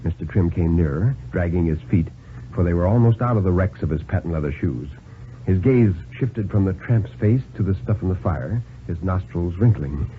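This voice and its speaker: A male storyteller narrates an old radio thriller with deliberate drama and lively swings of pitch. The sound is narrow and muffled, with faint hiss behind the voice. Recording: lo-fi 1950s radio, hissy.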